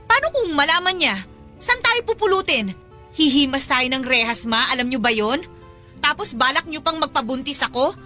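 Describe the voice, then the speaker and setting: An actress playing a hurt, defiant young mistress in an emotional Tagalog audio drama, pleading and confrontational. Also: female voice, high voice, expressive, moderate pace, pushed and bright, soft background music. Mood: upset, protesting.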